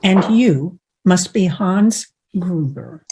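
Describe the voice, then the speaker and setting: An actress playing a sharp-tongued, protective frontier woman with an American accent, husky and blunt, in a Western radio drama. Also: on the low side, animated, takes it slowly, a little hiss or hum. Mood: scornful. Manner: blunt, pointed questioning.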